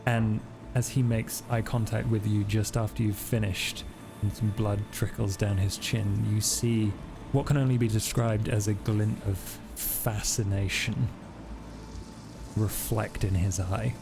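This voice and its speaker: A man's voice: on the low side, fairly even intonation, unhurried, creaky. A man working as game master, improvising the narration of a tabletop roleplaying game. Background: music.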